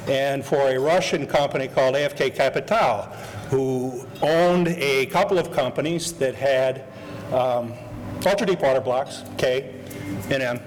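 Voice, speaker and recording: Halting man giving a board greeting. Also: mid-range voice, poor audio. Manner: halting, many ums and uhs. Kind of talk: informal speech at an event.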